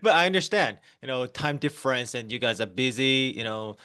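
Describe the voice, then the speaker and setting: Korean-accented man, 30s, mid-pitched, halting presenter, dry close mic. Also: animated, bright, forceful tone, clear, resonant tone. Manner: halting, many fillers and restarts. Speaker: network marketing presenter. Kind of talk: online business presentation.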